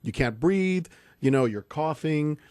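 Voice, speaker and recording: A man's voice: a little high, expressive, a little slow, darkish tone, clear, resonant tone, no room sound. A man from Cuba, a doctor co-hosting a casual radio chat, explaining things emphatically into a studio mic. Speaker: doctor and co-host.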